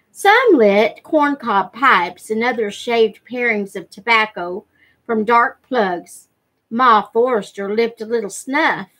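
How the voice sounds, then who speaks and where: A middle-aged woman reads fiction aloud with a fairly high voice. Her pace is slow and measured, and she gives the narration expression. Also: a bit bright, rough recording. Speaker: reader. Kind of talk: read-aloud storytelling from a novel. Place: living room at home. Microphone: webcam at desk distance.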